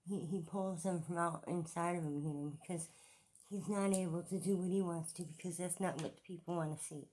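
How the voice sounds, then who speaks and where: A woman's voice: fairly low pitch, dark tone. A woman hosting a reaction video, commenting casually with dry sarcasm.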